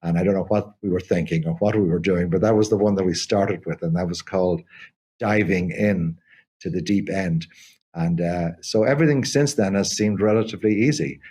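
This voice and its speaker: An older man, a theatre company co-founder, talking in an interview in a halting way with many repeated restarts. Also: low voice, a little mellow, husky. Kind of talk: conversational interview.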